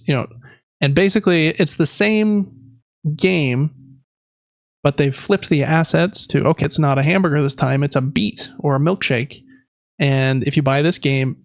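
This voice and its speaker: A man's voice: a little high, no room sound, muffled recording. A man co-hosting a podcast, chatting casually about video games. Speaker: podcast co-host.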